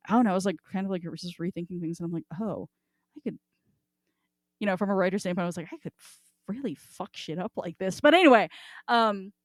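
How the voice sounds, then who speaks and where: A woman's voice: mid-range voice, fast, dry recording, clean studio sound. A woman hosting a casual book discussion, talking in a rambling, informal way and swearing freely. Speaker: podcast host.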